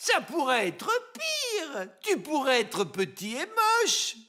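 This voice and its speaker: An actor playing a dim, clumsily consoling outlaw man in an animated cartoon, earnest and tactless. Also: high-pitched, slow, full character voice.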